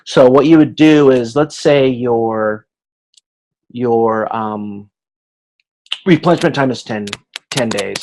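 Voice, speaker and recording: A man teaching an informal online class, explaining step by step in a halting way and voicing hypothetical exchanges as examples. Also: medium pitch, darkish tone, poor audio. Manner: explanatory, halting, checks understanding, acts out hypothetical dialogue. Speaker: instructor. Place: video conference class.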